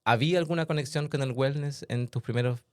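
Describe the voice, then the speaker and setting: A man hosting a podcast interview in a casual, chatty way, laughing often and making quick asides. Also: medium pitch, no room sound, studio quality. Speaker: podcast host and massage therapist.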